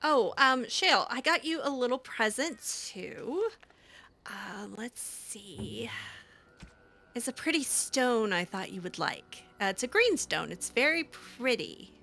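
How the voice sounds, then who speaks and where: A woman playing a friendly, flattering elf mage in improvised game roleplay, with an informal, hesitant, coaxing manner. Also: a little high, slow pace, exaggerated voice. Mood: eager, awkward.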